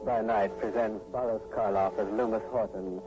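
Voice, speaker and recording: A man's voice: on the low side, fairly even intonation, normal speed, lo-fi sound. A man narrating a scripted 1940s radio broadcast as announcer, in a solemn, dramatic manner. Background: prominent music.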